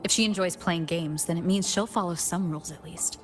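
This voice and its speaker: Calm, low-voiced woman wanderer played by an actress. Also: fairly quick, music in the background, close to an everyday voice. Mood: calm, pensive. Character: calm, thoughtful female wanderer far from home. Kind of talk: scripted video game dialogue.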